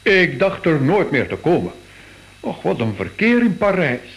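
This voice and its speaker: Very animated museum curator. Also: male voice, a little high, a little slow, vocal fry, heavily acted, low-quality recording. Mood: hurried, impatient. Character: anxious, devoted male Italian museum curator. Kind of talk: radio play acting.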